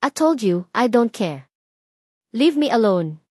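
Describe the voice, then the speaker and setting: A woman's voice: a little high, big pitch swings, slow pace, a little mellow, slightly rough, no room sound, clean studio sound, heavily acted. A woman with a Filipino accent voicing a brooding, wounded and angry rich young man in a dramatic audio story.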